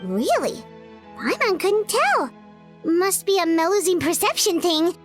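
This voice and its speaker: A tiny, curious fairy companion from a video game. The voice is extremely high, squeaky and childlike. It bounces animatedly with perky exaggeration, over faint music. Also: female voice, big pitch swings, a little slow, full character voice. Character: small childlike fairy companion, chirpy, curious, talks in third person.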